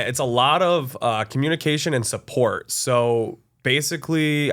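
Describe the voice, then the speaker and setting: A young man from Michigan chats easily as an interview guest. His voice sits at a middling pitch, and his speech is relaxed and friendly, full of "uh"s and small restarts as he explains things. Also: clean tone. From Michigan, USA. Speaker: college access adviser, guest. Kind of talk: casual interview conversation.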